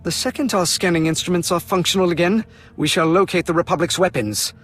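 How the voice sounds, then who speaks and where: An actor playing a stern, impatient military colonel in a video game, clipped and commanding. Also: male voice, high-pitched, brisk, a bit bright, soft background music. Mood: brisk, stern.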